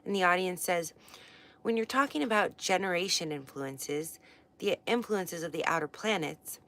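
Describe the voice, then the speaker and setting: Astrology teacher, woman, lowish voice, rambling casual delivery on a webcam livestream. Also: a bit bright. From west coast of the United States. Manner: chatty, rambling, many fillers and restarts. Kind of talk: casual livestream book study.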